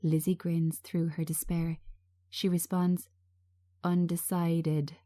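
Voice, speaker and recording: Slow, mellow woman narrating a script. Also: fairly low pitch, steady tone. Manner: measured, present-tense scene reading.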